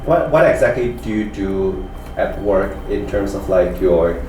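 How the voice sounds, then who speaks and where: Male interviewer, lowish voice, brisk questions, roomy noisy recording. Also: animated. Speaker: interviewer, series host. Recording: echoey room with background noise.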